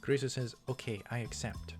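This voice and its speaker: A man's voice: mid-range voice, fairly even intonation, fairly quick, over music. A man hosting a let's play, reading visual novel text aloud and adding casual comments.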